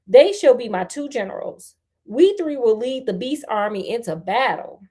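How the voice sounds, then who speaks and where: A big male elephant, boastful leader of a storybook beast army, acted out by a woman. The voice is loud and declamatory, with a fairly high pitch. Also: expressive, normal speed. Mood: rallying.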